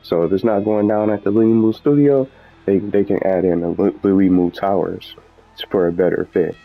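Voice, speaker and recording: A man's voice: deep voice, steady tone, moderate pace, gravelly. A man giving casual, unscripted commentary as a gaming video host, rambling and often repeating and rephrasing himself. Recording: dull lo-fi mic with background music.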